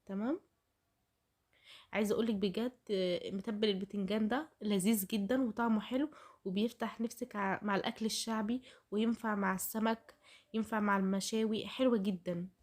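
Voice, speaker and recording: A woman's voice: medium pitch, fairly even intonation, fairly quick. A woman with an Egyptian accent and a voice altered by a heavy cold, chattily narrating a recipe straight to her viewers in a casual way. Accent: Egyptian Arabic. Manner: chatty, addresses viewers directly. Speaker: home cooking vlogger.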